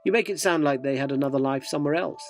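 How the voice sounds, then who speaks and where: A man's voice: on the high side, quick pace, soft background music, natural voice. A man playing an earnest, inquisitive magazine reporter in a radio drama, narrating and questioning with a dryly descriptive manner. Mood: probing, curious.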